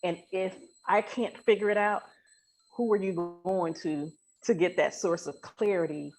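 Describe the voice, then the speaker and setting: A woman's voice: fairly low pitch, steady tone, measured pace, darkish tone, low-quality recording. A woman hosting a casual, faith-centred podcast conversation in an informal, emphatic, colloquial way. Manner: informal, emphatic, uses everyday colloquial phrasing. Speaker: podcast host.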